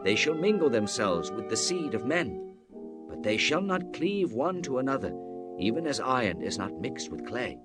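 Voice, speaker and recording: A male actor as a devout prophet in a scripture drama, proclaiming with solemn fervour. His pitch swings widely between reverent, weighty pauses. Music plays clearly behind him. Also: sing-song, natural voice. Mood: grave, proclaiming.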